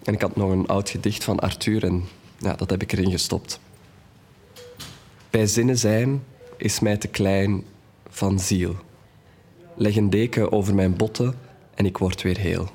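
An actor playing a gentle, hesitant young student friend, somewhat frail, in an audio drama. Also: male voice, on the low side, steady tone, measured pace, long pauses. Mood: subdued, wistful. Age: young adult.

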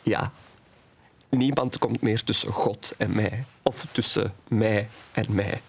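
A man's voice: lowish voice, fairly even intonation, takes it slowly, vocal fry, breathy edge, heavily acted. A man acting in an audio drama as a badly injured, earnest young believer, confiding and hesitant. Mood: defiant, resolute. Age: young adult. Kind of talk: intimate audio drama dialogue.

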